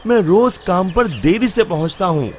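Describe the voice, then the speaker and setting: A man's voice: high voice, animated, normal speed, slight background noise. A man playing a weary, mildly exasperated working husband in a cartoon moral story.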